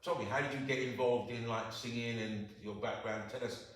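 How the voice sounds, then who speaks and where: A middle-aged man hosting a school interview show, asking questions in a halting way with many repeated words, seated in a classroom. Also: medium pitch, steady tone, a bit bright. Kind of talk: casual interview. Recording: echoey classroom, far from mic.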